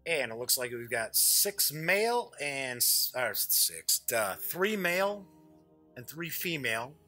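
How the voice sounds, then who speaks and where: A man's voice: medium pitch, very animated, takes it slowly, pushed and bright, faint music behind. A man hosting a game show, giving casual, off-the-cuff unboxing commentary in a chatty, jokey way, often muttering asides to himself. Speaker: host of a tabletop game review show.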